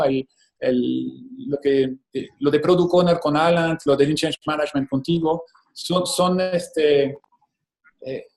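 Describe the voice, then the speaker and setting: A man speaking casually as a guest on a video call, a little higher-pitched than most. He stops and restarts his sentences, filling gaps with small sounds, and the room gives his voice a noticeable echo. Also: darkish tone. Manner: hesitant, many fillers and restarts. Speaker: company CEO, webinar guest. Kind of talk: casual conversational talk.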